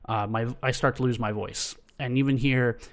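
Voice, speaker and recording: Smooth-voiced man rambling over a replay. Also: medium pitch, fairly even intonation, normal speed. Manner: rambling, self-interrupting, light laughs. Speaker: speedrunner watching back his run. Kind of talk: casual reflective commentary.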